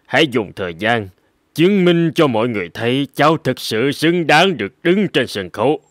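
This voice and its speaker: A man voicing a kindly older mentor in a cartoon, speaking in a measured, earnest and encouraging way. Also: medium pitch, big pitch swings, no room sound, close to an everyday voice. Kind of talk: animated cartoon dialogue.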